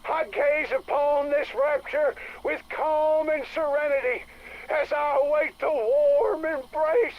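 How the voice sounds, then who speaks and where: An actor playing a fervent, solemn doomsday preacher in a video game audio log, sermonizing grandly about the end. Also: male voice, very high voice, steady tone, takes it slowly, highs cut off, heavily acted. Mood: rapturous.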